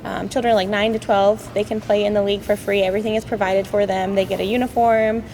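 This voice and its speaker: A woman speaks as a guest in a relaxed community interview. She talks quickly, filling gaps with "um" and "like." A steady hiss of noise sits under her voice. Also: mid-range voice. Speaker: guest from a civic club. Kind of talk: casual interview chat.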